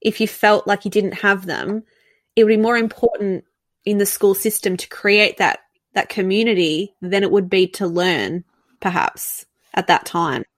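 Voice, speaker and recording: A woman's voice: medium pitch, normal speed, dry recording. A woman hosting a casual interview, listening closely and affirming her guest. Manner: attentive, affirming, frequent short backchannels. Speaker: interview host.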